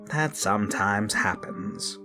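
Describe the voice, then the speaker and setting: A man voicing a calm, reassuring mother in a scary story. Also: on the low side, takes it slowly, gravelly, music in the background, exaggerated voice.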